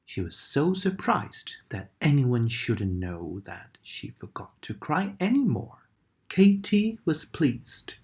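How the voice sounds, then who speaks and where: A woman reading a bedtime story aloud in a very low voice that swings up and down with feeling. She takes her time and sometimes drops into a whisper for the sad parts. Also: takes it slowly. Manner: expressive, dramatic, dips into whispers.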